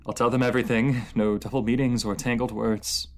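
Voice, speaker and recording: A man's voice: medium pitch, little pitch movement, fairly quick, natural voice. A man playing an anguished, proud enemy ruler in a fantasy audio roleplay, speaking in formal, theatrical phrases that halt with emotion. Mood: resigned, bitter. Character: captive enemy ruler, anguished, proud, lovesick, under truth potion.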